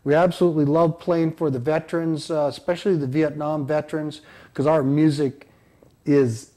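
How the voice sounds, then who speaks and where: An older man from central North Dakota with a fairly high-pitched voice. He gives casual interview answers as a band member, halting often in the middle of phrases. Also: little pitch movement, dark tone. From central North Dakota, United States. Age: 50s to 60s.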